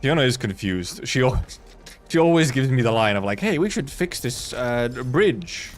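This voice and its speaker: A man's voice: medium pitch, sing-song, normal speed, faint music behind. A man giving casual live gameplay commentary as a streamer, rambling and muttering to himself. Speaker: game streamer.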